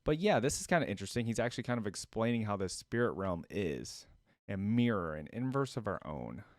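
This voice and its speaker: A man hosting a reaction video, commenting casually and musing out loud as he goes. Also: on the low side, very animated, a little fast, no room sound, studio quality. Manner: musing, often repeats quoted lines back.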